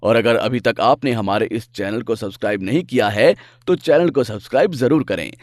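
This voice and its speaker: A man's voice: medium pitch, brisk, darkish tone, no echo, clean studio sound. A man narrating a scripted children's animal fable as a storyteller.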